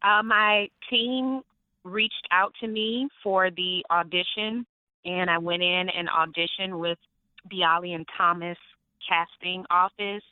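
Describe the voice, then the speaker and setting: A woman's voice: fairly low pitch, slow pace, clear, resonant tone, no echo. Woman telling stories over the phone. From Atlanta, Georgia. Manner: lively storytelling, laughs often. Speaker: actress, interview guest. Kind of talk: interview on the phone. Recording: tinny phone line, dry.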